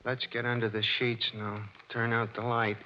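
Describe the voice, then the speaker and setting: An actor playing a dim-witted, sleepy comic sidekick in a 1940s radio drama, with a slow, drawling delivery. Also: male voice, medium pitch, level tone, lightly acted. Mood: drowsy. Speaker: detective's sidekick. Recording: muffled 1940s radio broadcast with faint hiss.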